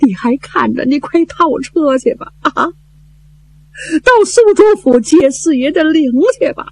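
A woman's voice: sits high, expressive, unhurried, a little mellow, voice pushed up. A woman voicing a grieving old woman in her sixties who wails and laments in a traditional told story. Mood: urgent. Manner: wailing, lamenting.

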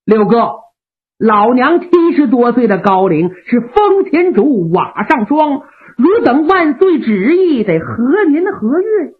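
A woman's voice: fairly high pitch, sing-song, slow pace. Give me a reassuring loyal officer, voiced by a woman storyteller, over a phone-like line. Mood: urging. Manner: urging, reassuring. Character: loyal male officer, persuasive. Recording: phone-like narrow band.

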